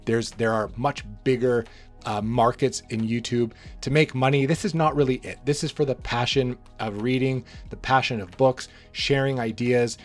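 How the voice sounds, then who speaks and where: Rambling man, slightly hoarse. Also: medium pitch. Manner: relaxed, rambling, frequent restarts and fillers. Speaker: book channel host. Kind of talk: rambling casual monologue to camera.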